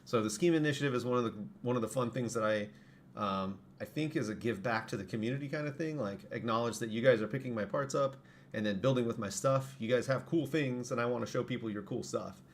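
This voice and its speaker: A man with a hoarse voice, casually explaining his products on a live stream and correcting himself as he goes. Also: mid-range voice, quick pace, a little hiss or hum. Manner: rambling, self-correcting. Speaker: streamer and saber parts maker.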